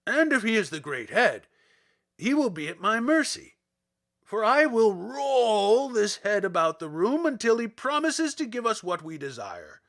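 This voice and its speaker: A man's voice: sits high, sing-song, a little slow, fairly bright tone, voice pushed up, full character voice. A man voicing a cowardly, blustering lion in a storybook reading, with emphatic, theatrical delivery. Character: cowardly lion, blustering, boastful yet afraid.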